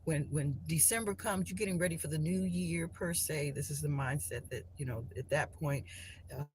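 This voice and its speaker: A woman's voice: deep voice, normal speed, a little mellow, low-quality recording. A woman hosting a live stream from her phone at home, talking casually and loosely about spiritual lessons, with many restarts and fillers. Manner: rambling, many restarts and "you know" fillers. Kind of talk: casual spiritual talk to viewers. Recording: clear background noise.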